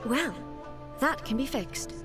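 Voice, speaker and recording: A regal female ruler from a video game, naive and literal-minded. Her higher voice moves with lively intonation, formal yet curious. Music plays clearly behind her. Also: takes it slowly. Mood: earnest, pleased. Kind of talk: scripted video game dialogue.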